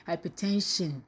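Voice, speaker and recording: Woman preacher, lowish husky voice, questioning the listeners and acting out their excuses. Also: a bit bright. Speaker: preacher leading a daily devotional. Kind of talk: live devotional sermon.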